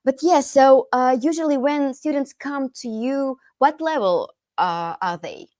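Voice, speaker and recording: Russian-accented woman host, fairly high voice. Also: darkish tone, dry recording. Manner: quick, hesitant, many "uh" fillers. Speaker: podcast host, English teacher. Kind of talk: podcast interview.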